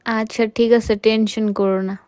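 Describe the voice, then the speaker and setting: A woman's voice: fairly high pitch, little pitch movement, moderate pace, a little mellow, clear, resonant tone, dry recording, studio quality. A young woman with a Bangladeshi accent in a casual phone chat, mixing in some English. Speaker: prank caller.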